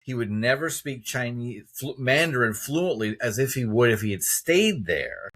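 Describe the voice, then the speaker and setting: A man in his fifties hosts a podcast conversation, speaking at an ordinary, middling pitch. He drives his points home with emphatic, opinionated storytelling. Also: lively intonation, moderate pace, fairly bright tone. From United States. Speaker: podcast host. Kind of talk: conversational interview.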